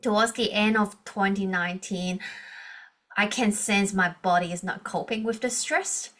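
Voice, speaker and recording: A woman's voice: medium pitch, moderate pace, a bit bright. A woman in her thirties from Hong Kong, speaking English with a Hong Kong accent as a non-native speaker, earnestly telling her personal story as a podcast guest over a video call, with frequent restarts. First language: Cantonese. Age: 30s. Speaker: guest, leadership coach.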